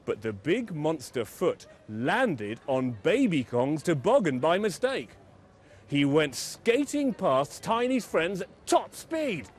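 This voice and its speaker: A man telling a children's bedtime story in an expressive, dramatic way, leaning on key words. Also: on the high side, slow pace, slight background noise.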